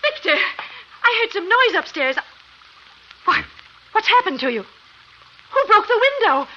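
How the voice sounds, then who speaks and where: An actress playing a scientist's anxious, devoted wife, pleading in a vintage radio horror drama. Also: female voice, very high-pitched, big pitch swings, measured pace, bright, forceful tone, exaggerated voice. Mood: alarmed. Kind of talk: 1940s-50s radio horror acting. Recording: muffled old radio recording.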